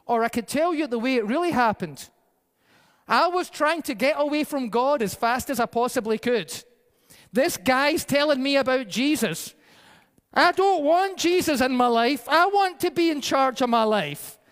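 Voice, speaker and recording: A man's voice: extremely high pitch, animated, normal speed, fairly bright tone. A male pastor preaching a sermon in a conversational, storytelling way with a varied pace. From Peru-born, raised in the UK by Scottish parents. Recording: reverberant hall.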